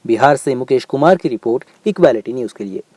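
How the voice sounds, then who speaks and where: A man's voice: medium pitch, lively intonation, fast, a little mellow, dry recording. A man delivering scripted news narration as a voice-over reporter.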